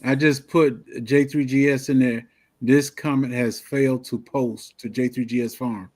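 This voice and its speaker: An older man, in a voice of middling pitch. He talks good-naturedly on a livestream, stammering and starting his sentences over. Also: steady tone, unhurried, darkish tone. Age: 60s. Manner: stammering restarts, Southern vernacular, good-natured. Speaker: livestream co-host. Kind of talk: casual livestream chat.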